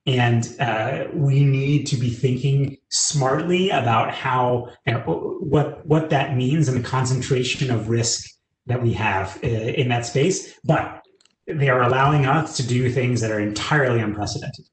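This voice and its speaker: A man's voice: mid-range voice, a little slow, echoey room, slight background noise, low-quality recording. A man with an American accent, answering interview questions in an earnest, fluent way with frequent "you know" fillers. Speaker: interviewee, tech company public sector lead. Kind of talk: conversational panel interview.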